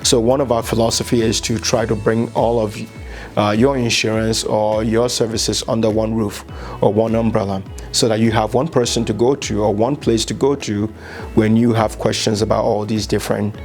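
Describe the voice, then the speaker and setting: Man, flat measured delivery. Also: lowish voice, faint music behind. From Liberia. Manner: measured, earnest, with frequent uh fillers. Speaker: insurance agent. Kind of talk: business promo interview.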